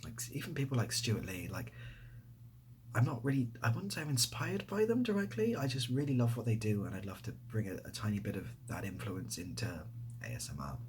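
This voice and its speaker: A young man with an English accent chatting softly in an ASMR style, hesitant and rambling, often dropping to a near-whisper. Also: mid-range voice, big pitch swings, fairly quick, background noise. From near Bath, England. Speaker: ASMR video creator. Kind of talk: softly spoken ASMR casual chat answering questions.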